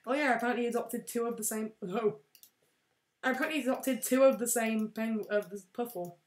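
A young woman casually commentating a game, excitable and prone to shouting at wins and losses. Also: on the high side, fairly quick. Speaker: let's play host. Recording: roomy echo.

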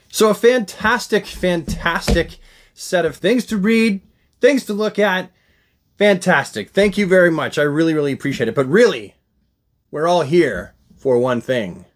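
A middle-aged man giving casual, jokey unboxing commentary to camera, effusive and repeating words for emphasis. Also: on the high side. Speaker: host of a comics collecting channel. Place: small indoor room packed with collectibles.

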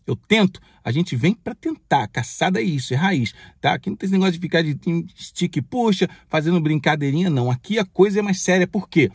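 A man's voice: high voice, expressive, a little fast, hardly any pauses, slightly rough, no echo, clean studio sound. A man, chatting to viewers in a casual, slangy way while filming himself bargain hunting outdoors on a handheld phone. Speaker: vlogger, game hunter. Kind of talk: casual vlog at a street market. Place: outdoor street flea market. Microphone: handheld phone camera. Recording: crowd noise from a busy street market. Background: market crowd chatter.

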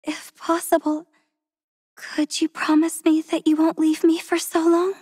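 A woman voicing a gentle, devoted young healer in a game, speaking slowly and tenderly in a soft, breathy voice. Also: extremely high pitch, monotone, no room sound, clean studio sound. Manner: slow and tender. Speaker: voice actress for a game character. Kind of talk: game character voice lines.

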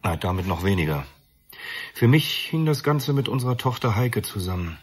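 An actor playing a bereaved, defensive middle-aged father, measured and earnest, in a radio drama. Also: fairly low pitch, sing-song, slightly rough. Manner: measured, earnest, self-justifying. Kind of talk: German radio drama acting.

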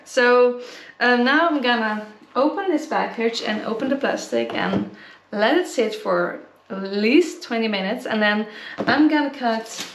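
Young woman vlogger, high-ish voice, giggly and self-mocking, recorded on a camera at arm's length in a roomy, echoing kitchen. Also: slight background noise. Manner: chatty, giggly, self-deprecating. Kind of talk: casual food-tasting vlog. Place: home kitchen. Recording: echoey room.

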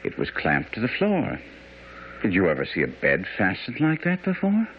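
A man's voice: fairly low pitch, wildly swooping pitch, moderate pace. A man acting a shrewd, commanding detective in a radio mystery, with precise, authoritative, theatrical delivery. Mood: probing. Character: shrewd, commanding English detective, deliberate and incisive. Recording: muffled radio broadcast, faint noise.

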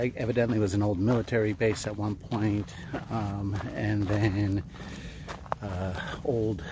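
A man's voice: fairly low pitch, background noise. Middle-aged male hiker, casual, worn out, filler-heavy, phone at arm's length outside. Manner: tired, chatty, many fillers, laughs easily. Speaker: hiking vlogger. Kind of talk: casual hiking vlog. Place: outdoors on a mountain trail. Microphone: handheld phone camera at arm's length.

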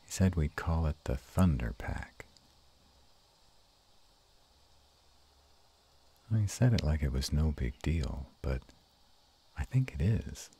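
Man playing a very deep, gravelly were-dragon lover. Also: very animated, mellow, full character voice. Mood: moved, hesitant. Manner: slow, halting, intimate murmur. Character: gruff but tender male were-dragon lover. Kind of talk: intimate romantic audio roleplay.

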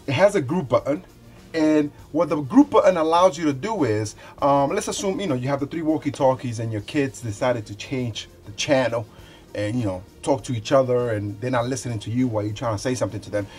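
A man in his forties hosts an off-the-cuff review in an average-pitched voice. He talks conversationally, doubling back on words as he explains things. Faint music plays behind him. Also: brisk, a little mellow. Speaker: product reviewer, show host. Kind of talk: casual unscripted unboxing review. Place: small indoor room with shelves.

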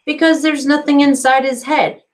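A woman's voice: high-pitched, level tone, moderate pace, voice pushed up, close to an everyday voice. A woman reading the part of an earnest, encouraging male camp counselor in a storybook, with emphatic delivery.

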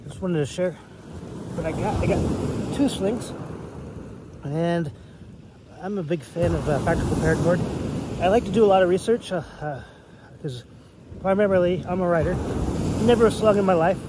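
A man's voice: high voice, normal speed, long pauses. A man in his thirties giving a casual show-and-tell in a hesitant, rambling way. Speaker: writer and hobbyist reviewer. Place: outdoors on a beach shore. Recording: outdoor wind and water noise.

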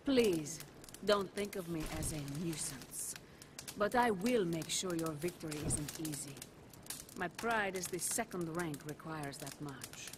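An actress playing a proud, weary, philosophical woman assassin in a video game, speaking gravely and formally. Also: deep voice, a little slow. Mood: composed, regretful. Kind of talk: dramatic video game cutscene acting. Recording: game audio with clear background noise.

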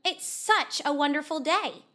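A woman's voice: high-pitched, unhurried, fairly bright tone, voice pushed up. A woman voicing cheery, nosy jungle animals who urge advice in a children's storybook. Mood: curious, cheery. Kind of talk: children's storybook read-aloud.

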